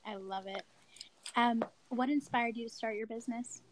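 A woman's voice: fairly high pitch, fairly even intonation, moderate pace, low-quality recording. A woman hosting a podcast, upbeat and friendly, asking her guest follow-up questions in a casual chat. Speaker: podcast host.

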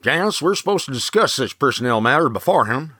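A man playing a fretful, objecting senior executive in a campy audio drama, with a husky voice. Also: medium pitch, animated, fast, bright, forceful tone, dry recording, studio quality.

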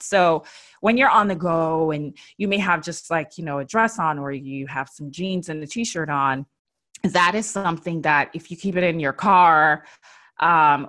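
A woman's voice: low voice, a bit bright. A woman hosting a style and beauty podcast, giving chatty, encouraging tips with casual fillers.

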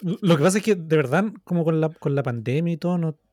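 A man's voice: on the high side, fast talker, a little mellow, no echo, clean studio sound. A man in his thirties with a Chilean accent, co-hosting a casual podcast in a rambling, self-mocking, repetitive way. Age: 30s. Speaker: podcast co-host. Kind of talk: casual, joking chat.

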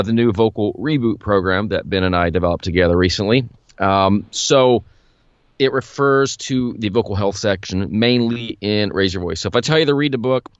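A man co-hosting a podcast about singing, talking in a confident, brisk, plain-spoken way. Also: on the low side, smooth, no echo. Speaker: vocal coach and author, podcast co-host. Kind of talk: casual podcast chat. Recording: dull, lo-fi dry sound.

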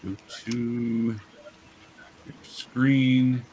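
A man with a medium-pitched voice runs a tabletop game as host and game master. He explains things casually in a halting way, pausing often. Faint noise sits behind his voice. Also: level tone, mellow, low-quality recording.

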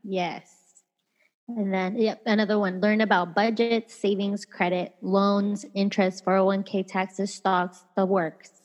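A woman's voice: mid-range voice, level tone, a little slow. Giggly young woman, chatty podcast co-host. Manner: giggly, chatty, many fillers. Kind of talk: casual chat reading listener messages. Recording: dull lo-fi audio with long reverb.

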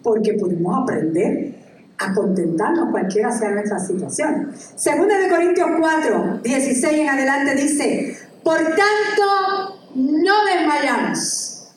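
A high-pitched woman delivers an animated, exclamatory sermon, reading scripture aloud between bursts of enthusiasm. Her voice comes from a distance and rings through a large, echoey hall. A faint room ambience sits under her. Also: measured pace, a little hiss or hum. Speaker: preacher.

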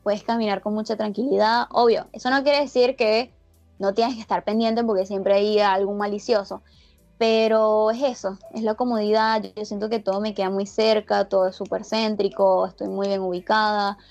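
A woman's voice: mid-range voice, fairly even intonation, moderate pace, creaky, a little mellow, highs cut off, soft background music. A woman from Venezuela with a Venezuelan accent that carries a slight Argentine lilt, chatting casually as an interviewee and rambling through many restarts and fillers. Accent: Venezuelan Spanish with a slight Argentine lilt. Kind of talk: casual chat.

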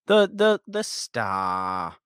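A man with a very low, deep voice talks loosely about a classic movie, circling back and restarting his sentences. The sound is close and dry, with no room echo. He drifts between points in casual podcast chat. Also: very slow, clear, resonant tone. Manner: rambling, animated, self-interrupting. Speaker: podcast co-host. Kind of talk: casual film discussion.

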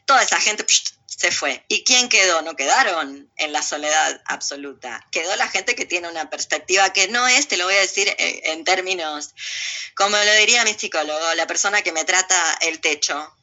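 Woman, Buenos Aires accent, very bright cutting voice, emphatic and sardonic interview guest, slightly dull lo-fi audio. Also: on the low side, lively intonation, moderate pace. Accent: Buenos Aires Argentine Spanish. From Argentina. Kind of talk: opinionated political interview talk.

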